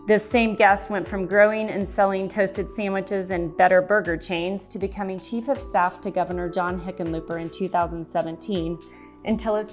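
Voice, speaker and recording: Woman podcast co-host, polished and upbeat. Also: medium pitch. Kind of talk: scripted intro and interview questions.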